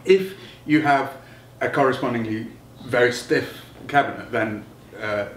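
A middle-aged man giving a technical explanation as an interviewed designer, halting and thinking aloud as he goes. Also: medium pitch. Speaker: loudspeaker designer being interviewed. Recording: roomy, distant mic, noticeable background noise.

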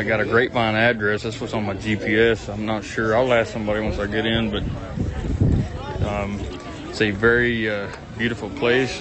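Chatty man vlogging amid a crowd. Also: on the low side. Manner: chatty, rambling, enthusiastic, many ums and uhs. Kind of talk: casual walk-and-talk vlog. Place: busy outdoor pumpkin farm. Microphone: handheld phone camera. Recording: crowd noise outdoors.

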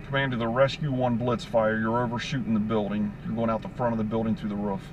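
Low-voiced man commanding over noisy scene. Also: flat delivery, fast talker. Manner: procedural, clipped commands. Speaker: fire incident commander. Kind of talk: fireground radio dispatch talk. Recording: noisy outdoor scene, vehicle rumble.

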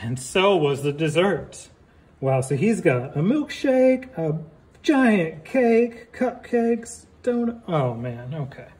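A man reading a picture book aloud like a teacher, deliberately, with casual asides and questions for young listeners. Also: fairly high pitch, very animated, a little mellow.

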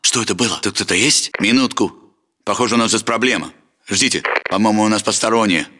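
An actor playing an alert, aggressive Russian soldier in a military video game, giving terse, urgent battle commands. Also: male voice, lowish voice, fast talker, bright, forceful tone. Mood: wary, suspicious.

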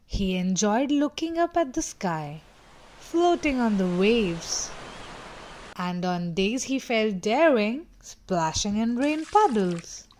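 A woman reading a children's storybook aloud with dramatic, deliberate phrasing. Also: medium pitch, lively intonation, darkish tone, a little hiss or hum. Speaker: storybook reader. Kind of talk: expressive children's read-aloud narration.

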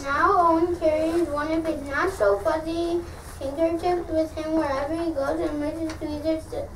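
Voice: very high-pitched, little pitch movement, normal speed, fairly bright tone. A young child reading story narration from a script in a school play. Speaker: pupil narrator in class play. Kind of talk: scripted read-aloud narration. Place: school classroom, indoors. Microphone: distant camera. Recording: echoey classroom, distant mic, noisy.

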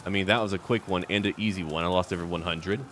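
A man's voice: sits low, fairly even intonation, fast, a bit bright, a little hiss or hum. A man giving first-person roleplay commentary on a strategy game in short, theatrical remarks, as if addressing its characters. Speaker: gaming video host.

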